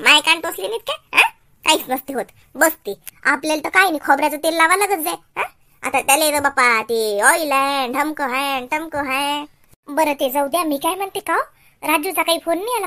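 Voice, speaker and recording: A woman plays a sharp-tongued village wife from a comedy cartoon, very high in pitch. She nags, scolds and taunts in a Vidarbha Marathi accent, with a complaining edge to her delivery. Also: brisk. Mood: scornful, chattering. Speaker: comic cartoon character.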